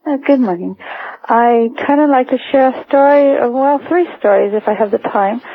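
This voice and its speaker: An older woman calling a radio show by phone, recounting personal stories in a hesitant, halting way. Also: sits high, slight background noise. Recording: narrow tinny phone line.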